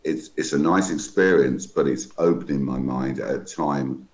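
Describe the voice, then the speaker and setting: Gruff man, fifties, extremely deep voice, loose rambling storyteller on a group call. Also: moderate pace, slight background noise. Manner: rambling, self-interrupting storyteller. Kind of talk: casual group chat. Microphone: online call.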